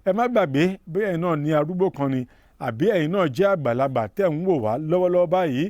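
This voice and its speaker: Male TV presenter, rapid and warm, dry studio sound, proverb-heavy delivery. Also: fairly high pitch, expressive, dry recording, lo-fi sound. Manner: proverb-quoting, addresses elders directly. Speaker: TV program host. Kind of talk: broadcast presenting.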